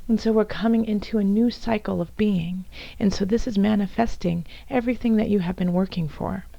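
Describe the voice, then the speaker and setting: A woman with a raspy, hoarse voice from bronchitis, softly reading tarot cards for her viewers, at times almost whispering. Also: mid-range voice, fairly quick, dry recording, dull audio. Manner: soft, at times whispery, with fillers and restarts. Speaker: tarot reader.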